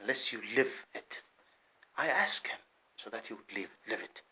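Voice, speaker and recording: A man delivering an impassioned monologue to camera, heated and repetitive, swinging between loud outbursts and low muttering. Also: expressive, moderate pace, slightly rough.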